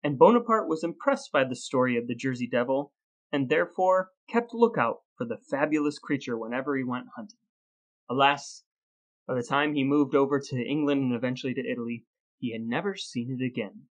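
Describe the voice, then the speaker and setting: A man hosting a podcast, telling stories and explaining in a deliberate, playfully grandiose presenter's delivery. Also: mid-range voice, rough recording. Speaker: podcast host. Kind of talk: scripted storytelling and conversational explaining.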